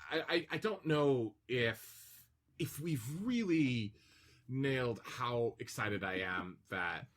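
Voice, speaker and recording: A man's voice: mid-range voice, lively intonation, slow. A man co-hosting a podcast in casual chat, effusive and grateful in the way he talks. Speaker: podcast co-host.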